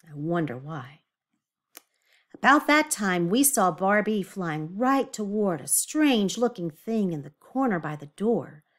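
Female storybook reader for young children: animated, slow-paced, expressive, with confiding asides. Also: mid-range voice. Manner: animated, with emphatic drawn-out words and confiding asides. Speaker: children's read-aloud teacher. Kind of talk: expressive picture-book read-aloud with questions for young children.

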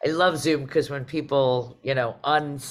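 A woman's voice: a bass voice, fairly even intonation, measured pace. A middle-aged woman hosting a casual panel conversation, often interrupting her own sentences as she goes. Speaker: host, theatre artistic director. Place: indoors, video call. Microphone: video call microphone.

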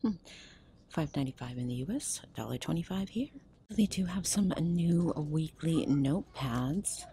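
Low, mellow-voiced older woman vlogging. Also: expressive, normal speed, slight background noise. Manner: chatty, often drops to a whisper. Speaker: shopping vlogger. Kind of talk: casual shop-with-me narration. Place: large discount store aisle. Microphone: handheld phone camera.

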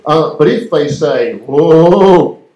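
A man's voice: a little high, lively intonation, slow pace, mellow, dull audio. Man doing wry stand-up. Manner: mock shipping-forecast delivery, wry. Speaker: stand-up comedian. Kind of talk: stand-up comedy routine. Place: community centre hall, live audience. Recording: echoey hall with background noise.

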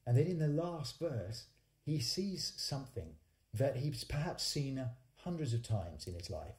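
A man's voice: mid-range voice, sing-song, a little slow, a little mellow. A man in his forties, a singer-songwriter hosting and telling stories casually, with hesitant conversational phrasing that restarts often. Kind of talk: casual storytelling between songs. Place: small home studio, indoors. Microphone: studio condenser microphone on a stand. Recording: roomy, echoing livestream sound.

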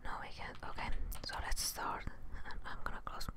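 A woman whispering ASMR gameplay commentary close to the mic in murmured asides, often repeating words. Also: moderate pace. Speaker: gaming streamer. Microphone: close microphone in front of her, headphones on.

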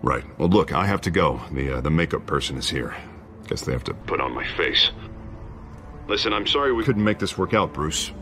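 An actor playing a smooth but nervous politician in a video game, hesitant and persuasive. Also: male voice, a bass voice, lively intonation, brisk, bright, forceful tone, full character voice. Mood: let down. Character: smooth, nervous male politician running for office. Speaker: election candidate. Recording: music behind the voice.

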